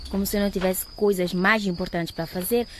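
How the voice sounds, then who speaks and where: A woman's voice: mid-range voice, steady tone, fast, heavy background noise, close to an everyday voice. A young woman playing a wounded but defiant schoolgirl in a radio drama. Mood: annoyed. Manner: emotional, indignant. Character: wounded, defiant teenage schoolgirl standing up for herself.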